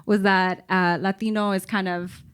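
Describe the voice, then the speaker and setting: A woman moderating a comedy panel, asking questions in a measured, conversational way. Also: medium pitch, flat delivery, smooth.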